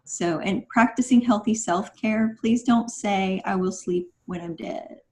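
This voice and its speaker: A woman's voice: mid-range voice, a little slow, darkish tone. A woman guest on a podcast, giving conversational advice in an instructive, encouraging manner.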